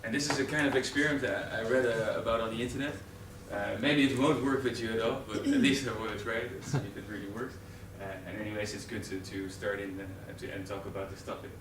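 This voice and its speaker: Young Dutch man presenting live, halting and filler-heavy; recorded from far back in a roomy, noisy training room. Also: on the low side, steady tone. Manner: hesitant, many fillers and restarts. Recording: roomy, distant camera audio with noise.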